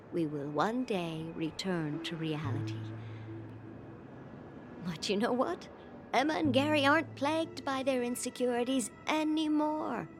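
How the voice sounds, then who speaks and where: A gentle older lady from a video game cutscene. Her voice is fairly high and swings expressively as she talks with earnest, reflective care. Music plays clearly behind her. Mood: reassuring, hopeful. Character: gentle old woman caring for orphaned children. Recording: loud music under the voice.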